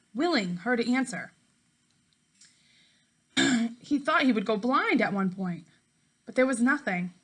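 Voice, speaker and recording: A woman with a bright, slightly high voice reads aloud as a teacher would for schoolchildren. Her delivery is clear and expressive, aimed straight at her young listeners. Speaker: teacher reading a book aloud to fifth graders. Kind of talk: read-aloud storytelling for children.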